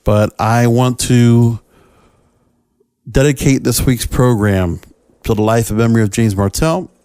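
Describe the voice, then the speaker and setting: Man, podcast host, solo commentary, medium pitch, halting short phrases, dry recording. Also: darkish tone, clear, resonant tone, no room sound.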